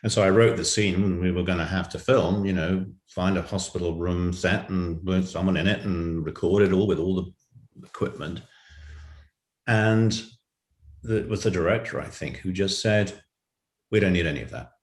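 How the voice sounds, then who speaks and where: A man's voice: deep voice, fairly even intonation, normal speed. A man speaking as an interview guest, telling illustrative anecdotes about his creative work. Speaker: writer and director, interview guest. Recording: echoey room.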